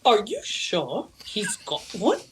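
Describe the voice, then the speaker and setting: A woman voicing a dignified legendary king, speaking in formal, measured proclamations during an amateur comedy read-through. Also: mid-range voice, huge pitch swings, slight background noise, voice pushed down. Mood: commanding.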